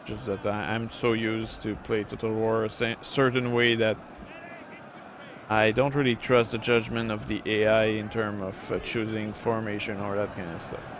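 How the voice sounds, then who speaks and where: A man's voice: on the low side, level tone, normal speed, background noise. A middle-aged francophone man with a French Canadian accent, rambling casually with many fillers while commenting on a strategy game. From Quebec, Canada. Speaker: gameplay streamer.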